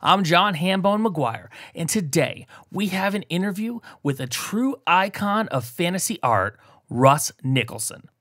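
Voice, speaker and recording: A man hosting a podcast, giving an enthusiastic introduction and throwing in quick jokes. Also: high voice, big pitch swings, fairly bright tone, no room sound, studio quality. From New Jersey. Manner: enthusiastic, jokey host delivery. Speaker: podcast host.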